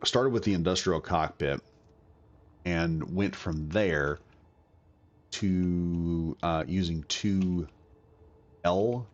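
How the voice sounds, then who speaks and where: Deep-voiced man with a cold. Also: very slow, pauses often, highs cut off. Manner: rambling, chatty, self-correcting. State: recovering from a cold, coughing. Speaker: gaming video creator. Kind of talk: casual game build commentary.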